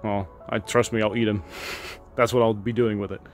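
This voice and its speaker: A man's voice: lowish voice, flat delivery, moderate pace, soft background music. A man giving a casual, enthusiastic tour of his home garden outdoors, chatting with fillers as he films on a handheld camera. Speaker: gardening channel host.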